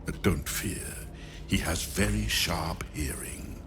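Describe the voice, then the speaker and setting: A man's voice: low-pitched, vocal fry, noisy background, exaggerated voice. An actor playing an arrogant, cold male necromancer in a video game cutscene, speaking with haughty disdain. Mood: gloating. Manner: haughty, disdainful, slow and deliberate.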